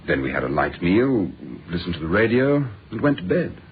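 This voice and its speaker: An actor playing a genial, self-assured British doctor in a radio drama, speaking in an urbane, conversational way. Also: male voice, low-pitched, very animated, moderate pace. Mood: genial, confiding. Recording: dull, faintly noisy radio recording.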